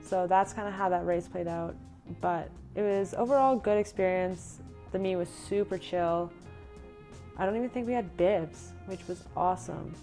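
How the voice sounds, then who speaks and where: A woman's voice: fairly low pitch, moderate pace, faint music behind. Chatty young woman recapping her races. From Canada. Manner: relaxed, chatty, reads her race notes aloud. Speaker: runner recapping her racing season. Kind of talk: casual vlog narration.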